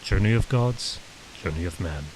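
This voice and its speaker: A man voicing a large, calm, patient foreign warrior who speaks terse, measured broken English in a story reading. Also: low voice, a little hiss or hum, rough recording. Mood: patient, explaining.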